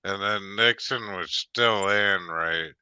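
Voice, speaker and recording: Slow-talking rambling older man. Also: lowish voice, sharp tone, smooth, no room sound. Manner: rambling, halting, many restarts and fillers. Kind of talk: casual chat.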